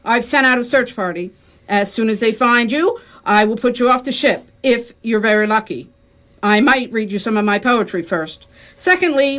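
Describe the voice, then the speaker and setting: A woman's voice: fairly high pitch, a bit bright, lightly acted. A woman playing an officious, hostile alien starship captain, making pompous, curt announcements in a comic sci-fi radio play. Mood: officious, bitter.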